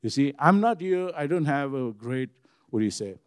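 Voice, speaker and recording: A man with a deep, bassy voice preaching an informal sermon to a room, talking conversationally, repeating himself and asking his listeners questions. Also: lively intonation, normal speed, clear, resonant tone, no room sound, clean studio sound. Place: church room with congregation.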